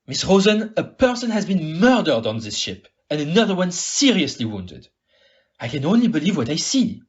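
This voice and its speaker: A man's voice: a little high, wildly swooping pitch, moderate pace. A man playing a shrewd, formal detective in an audio play, questioning politely and precisely, on a muffled recording. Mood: grave, pointed. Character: shrewd, courteous male detective, probing and precise.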